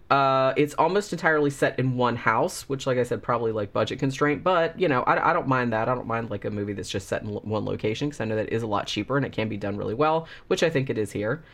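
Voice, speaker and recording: A man hosting his movie review show while fighting off a cold, so his voice sounds a little stuffy and congested. He talks in a loose, rambling way, full of "uh" and "like", at an average pitch for a man. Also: fairly bright tone. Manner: rambling and chatty, many fillers. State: has a cold, slightly congested. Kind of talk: casual spoken movie review.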